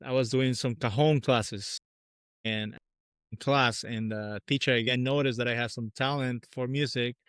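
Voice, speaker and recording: A man from Peru whose first language is Spanish, a guest drummer speaking in an easygoing, soft-spoken way and searching now and then for English words. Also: mid-range voice, fairly even intonation, normal speed, clear, resonant tone, no echo, clean studio sound. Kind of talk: casual podcast interview.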